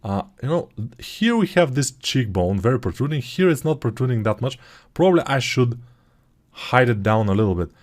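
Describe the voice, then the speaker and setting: A man's voice: medium pitch, animated, moderate pace, darkish tone. A man with a Bulgarian accent, speaking English as a second language, walking through a 3D modeling tutorial in a chatty, digressive way that often corrects itself. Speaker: 3D modeling course instructor. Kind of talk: software tutorial walkthrough.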